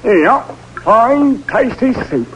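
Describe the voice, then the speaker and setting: Prison guard, very high lively voice, chatty and timid, old radio drama, clear noise. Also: male voice, slow, dull audio, full character voice. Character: whistling, chatty British prison guard, easily frightened. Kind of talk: 1940s radio drama acting. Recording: noisy old radio recording.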